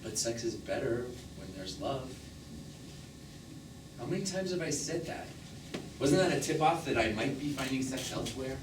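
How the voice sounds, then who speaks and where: Guy playing a restless younger man confessing on stage, defensive, halting, echoey room, noisy. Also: medium pitch, lively intonation, close to an everyday voice. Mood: defiant confessing. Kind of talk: live stage play monologue. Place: small theatre stage, recorded from the audience. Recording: echoey theatre from far off, noisy.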